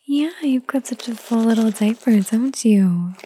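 A woman doing a whispered ASMR roleplay, talking softly and soothingly in a gentle whisper. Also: fairly high pitch, unhurried, a little hiss or hum. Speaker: ASMR performer.

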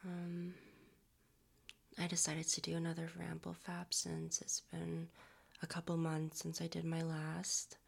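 A young man whispering softly into a close mic, his voice raspy and a little hoarse from a recent cold. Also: on the high side. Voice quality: raspy, strained.

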